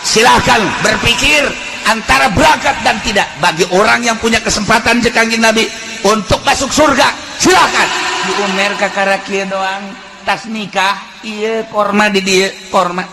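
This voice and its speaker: Male Islamic preacher, Sundanese accent, bright sharp voice, animated folksy delivery, slight background noise. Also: high-pitched, normal speed, gravelly. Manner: animated, shifting between chanting, shouting and folksy asides. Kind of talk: fiery religious sermon with storytelling.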